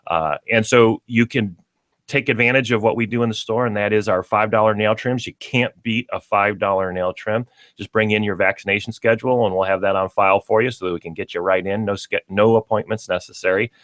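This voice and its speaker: A man's voice: fairly low pitch, fairly quick, no echo. A man appearing as a guest on a local radio show, chatting casually about his pet store in an upbeat way. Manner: upbeat and chatty, with bursts of excitement.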